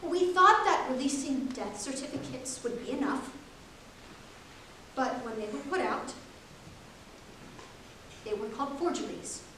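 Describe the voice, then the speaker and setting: A woman playing a grieving, pained parent of a murdered son, speaking in a hushed, halting way on stage. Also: high voice. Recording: very echoey hall, distant mic, hiss.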